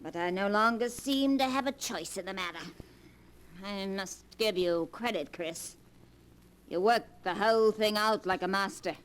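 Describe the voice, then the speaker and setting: A woman's voice: medium pitch, measured pace, clean tone, natural voice, rough recording. A woman playing a cackling scheming grandmother. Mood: sly, mocking. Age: older. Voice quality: dry, cackling. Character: scheming old grandmother, cold and mocking. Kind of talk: 1940s radio acting. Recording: old radio recording with faint hiss.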